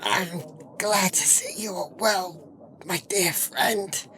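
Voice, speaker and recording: An actress playing a grave, restrained leader among immortal wardens in a fantasy audio drama. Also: female voice, fairly low pitch, slow, fairly bright tone, slight background noise. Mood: grave, weary.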